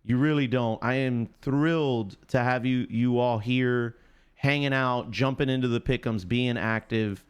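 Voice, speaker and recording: A man's voice: mid-range voice, a little slow, clear, resonant tone. A man hosting a live sports stream, chatting casually in chummy slang, greeting viewers by name and repeating himself.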